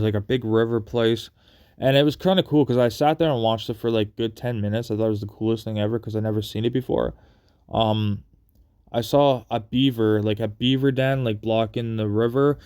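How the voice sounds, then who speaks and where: A man with a smooth, clear voice tells a personal story casually. His pitch stays quite flat as he wanders along, halting and repeating phrases. The sound is dry, with no room echo. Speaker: video creator telling personal stories.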